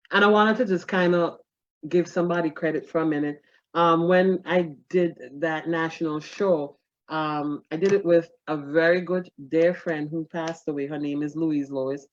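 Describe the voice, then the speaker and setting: A middle-aged woman tells stories from her comedy career in an animated, self-mocking way, laughing often. Her voice sits a little low. The recording sounds dull and lo-fi. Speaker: stand-up comedian guest. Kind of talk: casual interview storytelling.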